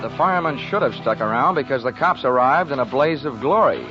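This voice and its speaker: A man's voice: medium pitch, expressive, fairly quick, lightly acted. Man playing a wisecracking hardboiled investigator, old radio. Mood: sardonic. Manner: dry, sardonic wisecracks. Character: wisecracking hardboiled male insurance investigator, cocky and flippant. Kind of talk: 1940s radio acting with first-person narration. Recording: dull 1940s radio recording with music.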